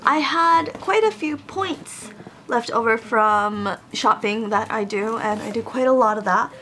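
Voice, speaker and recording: A woman's voice: a little high, measured pace, sharp tone, a little hiss or hum. A woman getting over a cold, chatting casually to viewers as she unboxes a craft haul at home. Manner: chatty, addresses viewers directly. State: recovering from a cold. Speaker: craft vlogger. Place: home room with air conditioning, in Japan.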